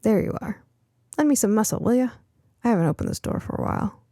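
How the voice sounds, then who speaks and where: A woman's voice: fairly high pitch, animated, quick pace, dark tone, no echo, studio quality. A woman voicing a spry, feisty older female neighbor in an audiobook, with a folksy, bossy and affectionate way of talking. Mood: brisk, urging.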